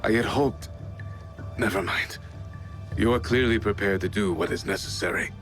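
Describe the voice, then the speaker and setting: A man playing a weary, grave veteran warrior and leader in a video game, with terse, deliberate phrasing. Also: lowish voice, over music, lightly acted. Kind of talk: cinematic video game acting.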